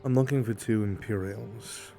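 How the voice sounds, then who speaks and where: A man's voice: on the low side, normal speed, vocal fry, dark tone, soft background music. A man playing a commanding, protective fantasy leader in a live roleplay, terse and given to orders. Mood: quiet, protective. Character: commanding, protective man, self-assured dangerous leader of hunters.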